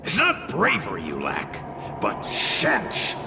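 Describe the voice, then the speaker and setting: A man's voice: on the low side, wildly swooping pitch, slow, vocal fry, noisy background, exaggerated voice. An actor playing a brash, mischievous male fox-tail spirit in a video game, boastful and protective, with a theatrical, sardonic, mocking manner. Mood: sardonic, proud. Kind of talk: animated video game voice acting. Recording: voice over loud game music. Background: game music.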